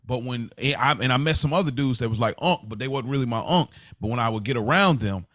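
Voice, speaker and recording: A man's voice: mid-range voice, quick pace, fairly bright tone, no room sound, clean studio sound. A man speaking as a podcast co-host in casual, reflective conversation, earnest and conversational in his delivery.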